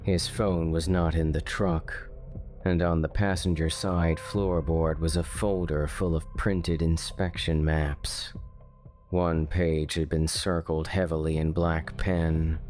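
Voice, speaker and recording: A man narrating a dark true-style mystery story in a slow, measured, ominous way. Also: rumbling low, level tone. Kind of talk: scripted horror narration. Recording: faint music underneath.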